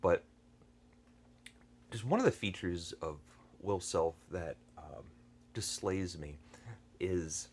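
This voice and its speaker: A man hosting a book channel, talking through a review unscripted, hesitant and earnest, with many fillers and restarts and frequent throat clearing. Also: sits low. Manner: thoughtful and earnest.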